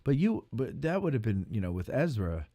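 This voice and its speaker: A man's voice: medium pitch, very animated, brisk, dark tone, no room sound. A man hosting a podcast, chatting casually with a dry, grumbling sense of humor. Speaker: podcast host.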